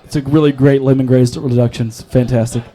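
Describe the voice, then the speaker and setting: A man's voice: medium pitch, steady tone, brisk, dark tone, some chatter behind. A man performing stand-up at an open mic, telling stories in a crude, profane, self-deprecating manner. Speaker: stand-up comedian. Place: live open mic comedy show.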